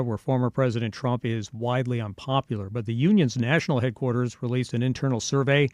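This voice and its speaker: A man's voice: medium pitch, no echo. A man co-hosting a radio show, mixing news reading with casual commentary, close on a studio microphone. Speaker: radio co-host and news reader.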